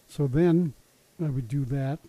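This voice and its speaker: Older guy, super mellow dark voice, rambly wry storytelling with lots of restarts. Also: mid-range voice, a little slow, poor audio. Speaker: interviewee recalling his science show work. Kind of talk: casual oral-history storytelling.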